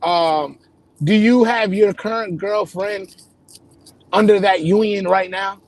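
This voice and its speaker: A man with a high voice hosts a live chat, pressing his guest with measured, probing questions. He is calling in from his car, and his voice sounds dry and close. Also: fairly even intonation, no room sound. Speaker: host. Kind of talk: casual live-stream interview.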